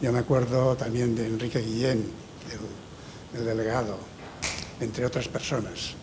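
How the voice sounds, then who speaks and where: A man's voice: medium pitch, steady tone, normal speed, background noise. A man in his sixties from Barcelona, a former handball player, reminiscing gratefully and restarting his phrases often. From Barcelona, Spain.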